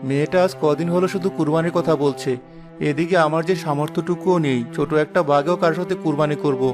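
Man playing a careworn, higher-voiced village father. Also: fairly even intonation, quick pace, natural voice. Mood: downcast, weary. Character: poor, careworn village father, gentle and downcast. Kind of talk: cartoon dialogue dub. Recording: music under the voice.

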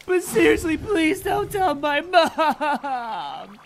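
An actor voicing a brash, excitable young male tournament announcer in an anime parody, shouty and taunting. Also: sits high, slow pace, roomy sound, a little hiss or hum, full character voice. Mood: pleading. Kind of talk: anime parody dub, hyped commentary.

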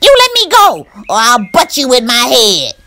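A woman voicing a cocky, brash male rabbit with a loud, folksy, boastful drawl in a storybook read-aloud. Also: extremely low pitch, lively intonation, a little slow, exaggerated voice. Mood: furious.

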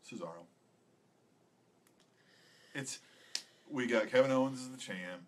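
A man's voice: medium pitch, a little slow, a little hiss or hum. A young adult man co-hosting a podcast, talking casually as he gives his predictions. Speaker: podcast co-host. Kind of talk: casual wrestling predictions chat. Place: small indoor studio with acoustic foam panels.

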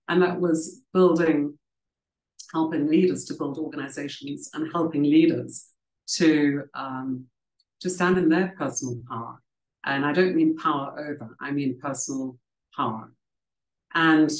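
A middle-aged woman, raised in South Africa, telling her career story at a book festival in a conversational, reflective way with frequent ums and restarts, speaking over a video call from home. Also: low-pitched. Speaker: author and leadership coach. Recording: roomy video call audio.

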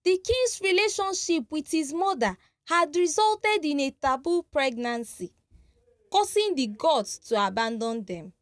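A woman's voice: sits high, expressive, a little slow, no echo. A woman narrating a scripted folktale in a dramatic storytelling manner. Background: background music.

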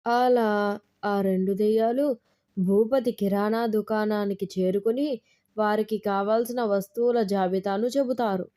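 A woman's voice: on the high side, darkish tone. A woman reading a scripted story as a storyteller.

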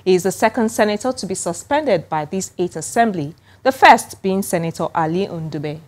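A woman's voice: lowish voice, lively intonation, normal speed, loud music behind the voice. A woman with a Nigerian accent reading scripted news narration as a television presenter.

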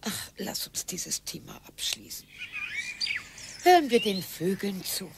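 A tired older wife in a radio play, dry and resigned. Her pitch moves a lot and her voice turns creaky, with birdsong clearly behind her. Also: very animated, measured pace, noisy background. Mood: musing.

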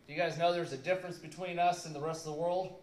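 A man in his thirties preaching a sermon in a church on a handheld mic, earnest and halting with fillers, leaning hard on key words. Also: fairly high pitch, fairly even intonation. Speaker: pastor. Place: church sanctuary, indoors. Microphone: handheld mic, filmed by a camera across the room. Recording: echoey church room.

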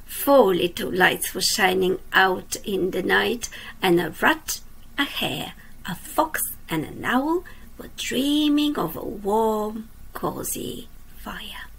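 Woman teacher, children's read-aloud at a webcam; slow and gentle, husky with some fry, quizzes the kids as she goes. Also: mid-range voice, lively intonation, poor audio. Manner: slow, gentle, drops to a soft whisper at line ends; asks the young listeners questions. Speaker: teacher reading a storybook. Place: indoors at a computer.

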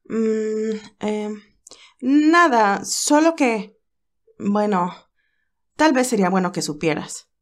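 A woman voicing a cheerful but anxious young nurse who talks in a lively, hesitant way. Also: medium pitch, slow, long pauses. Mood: worried.

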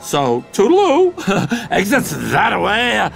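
An actor playing a fast-talking, theatrical, sarcastic god of the underworld, a scheming wisecracking villain in a video game. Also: male voice, high-pitched, wildly swooping pitch, gravelly, soft background music, exaggerated voice. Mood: sardonic. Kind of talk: video game cutscene acting.

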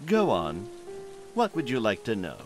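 A self-assured corporate tycoon, played by a man with a lowish voice that rises and falls expressively. He is composed and authoritative, like a cartoon mastermind, with music clearly behind him. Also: huge pitch swings, measured pace. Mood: calm, smug. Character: cool, self-assured male doctor and pharma tycoon. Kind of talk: animated comic dub.

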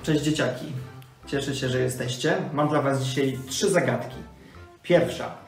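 A man's voice: mid-range voice, normal speed. A man hosts a scripted quiz for children. His voice sounds distant from the mic in a heavily reverberant space, with faint music underneath.

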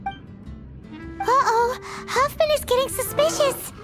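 A woman voicing a tiny, excitable fairy girl companion in a video game, childlike and squeaky, full of exclamations. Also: takes it slowly, over loud music. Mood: panicked. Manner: excitable, exclamatory, refers to herself in third person. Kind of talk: video game character acting.

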